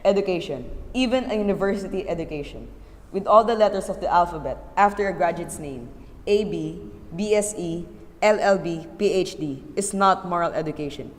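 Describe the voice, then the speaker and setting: A woman's voice: on the low side, fairly quick, close to an everyday voice. A woman voicing a stern, old-fashioned Filipino father in a radio play, lecturing emphatically with a Filipino accent and easily exasperated. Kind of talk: amateur English radio play acting. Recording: reverberant hall-like echo.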